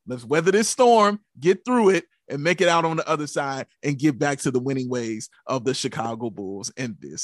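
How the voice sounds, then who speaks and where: A man's voice: a little high, dry recording, studio quality. A man co-hosting a sports podcast in casual fan talk, preaching emphatically with rhetorical questions and repeated catchphrases.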